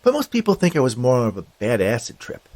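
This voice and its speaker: A man's voice: medium pitch, huge pitch swings, a little fast, darkish tone, voice pushed up. A man voicing a breezy, wisecracking skeleton spirit in a storybook reading, casual and brash. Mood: breezy, teasing.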